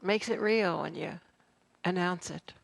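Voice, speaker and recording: Gravelly-voiced woman minister, gently encouraging. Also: fairly low pitch, a little slow, rough recording. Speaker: minister leading a church service. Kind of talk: guiding a congregation exercise. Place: church sanctuary.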